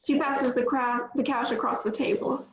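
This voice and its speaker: A woman's voice: sits high, normal speed. Woman reading stage directions, echoey. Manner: plain, steady reading with small slips. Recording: echoey, dull lo-fi audio.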